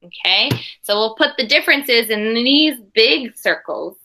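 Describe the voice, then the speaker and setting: A woman doing an expressive, dramatic children's read-aloud, pausing with asides to explain things simply like a teacher. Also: on the high side, slight background noise. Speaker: teacher reading a picture book to young pupils.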